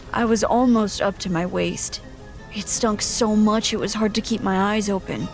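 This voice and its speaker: A woman's voice: mid-range voice, lightly acted. A woman acting and narrating in an audio drama as an anxious but plucky scavenger, earnest and exasperated. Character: anxious, plucky scavenger speaking of self by name.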